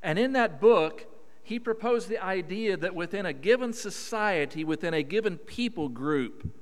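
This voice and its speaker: A man's voice: high voice, expressive. A man in his fifties from Oklahoma with a Southern drawl, preaching a folksy, emphatic sermon as a guest preacher in a church. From Oklahoma, USA. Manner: folksy, emphatic, drawn-out vowels. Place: church sanctuary. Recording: reverberant church hall.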